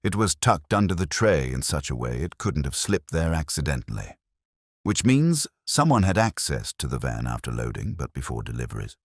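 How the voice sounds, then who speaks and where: A man's voice: rumbling low, sing-song, normal speed, dry recording, clean studio sound, exaggerated voice. A man voicing an eager, self-satisfied police sergeant in a mystery story. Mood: proud reporting. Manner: eager, assertive.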